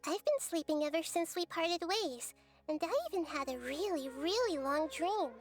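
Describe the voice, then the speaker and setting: A woman doing a gentle, dreamy childlike goddess. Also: sits high, normal speed, over music, lightly acted. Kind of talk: video game voice acting.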